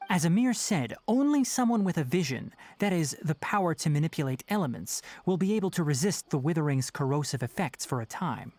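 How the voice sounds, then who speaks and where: An actor playing a calm, knowledgeable young forest ranger in a video game, speaking in a measured, instructive way with authority. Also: male voice, fairly high pitch. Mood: serious, considered. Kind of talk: English video game voice acting.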